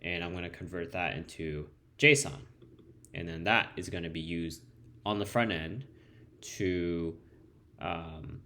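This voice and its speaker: Deep-voiced guy explaining his code, laid back, lots of ums, spanish is his first language. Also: slow pace, bright, forceful tone. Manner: loose and conversational, many ums and restarts. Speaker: developer demoing code. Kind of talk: casual screen-share tutorial.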